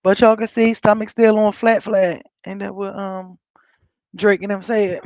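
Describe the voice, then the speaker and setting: An American woman with a raspy, scratchy voice from a coming cold, hosting a live stream in casual, rambling, unfiltered chat with her viewers. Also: medium pitch, level tone, unhurried, a little mellow, no echo, highs cut off. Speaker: livestream host. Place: indoors at home, in an office.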